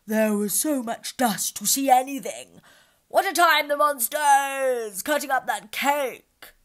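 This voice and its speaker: A woman's voice: fairly high pitch, lively intonation, pitched above the performer's own voice. A woman voicing a lazy, sleepy male lion who drawls and yawns through his words. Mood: drowsy gruff. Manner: drawling, yawning, slow.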